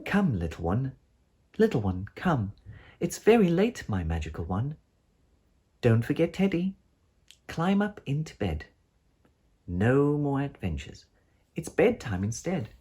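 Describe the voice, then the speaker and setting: Woman as gentle storybook parent, affectionate, coaxing, very lilting intonation. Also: very deep voice, sing-song, unhurried, long pauses, breathy edge, pitched above the performer's own voice, exaggerated voice. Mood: tender, coaxing. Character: gentle, coaxing parent calling a child to bed. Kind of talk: children's storybook character voice.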